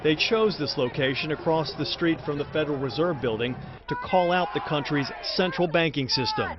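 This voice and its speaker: High-voiced male TV field reporter, crowd behind. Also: normal speed. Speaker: television field news reporter. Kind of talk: live and packaged news report. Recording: dull audio, street crowd noise behind.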